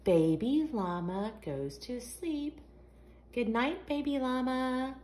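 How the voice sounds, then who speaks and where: A woman's voice: high voice, lively intonation, slow pace, darkish tone. A woman hosting a children's storytime, reading a picture book aloud expressively in a sing-song, question-asking way. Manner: sing-song, asks the young listeners questions.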